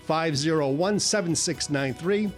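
A man presenting his own company in a scripted radio advertisement, with a deliberate sales delivery. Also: a little high. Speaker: company chief executive. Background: light guitar music.

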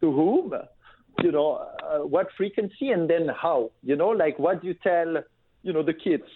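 A man's voice: fairly high pitch, lively intonation, normal speed. A man from Belgium, a guest on a business talk show, speaking over the phone in a casual, fluent way with many fillers. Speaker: guest, family business founder. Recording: tinny phone line with faint noise.

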